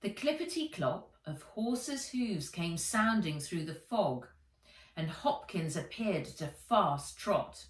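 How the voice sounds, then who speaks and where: Female storybook narrator, unhurried and lowish in pitch; roomy sound, a little distant. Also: animated. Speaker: author reading own book aloud. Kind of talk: children's audiobook narration. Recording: roomy echo, distant from the mic.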